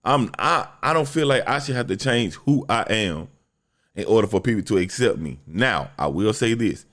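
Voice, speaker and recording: A young man hosting a solo podcast, talking bluntly and profanely in a deep voice, telling a story with lots of animation. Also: lively intonation, normal speed, a bit bright. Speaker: podcast host.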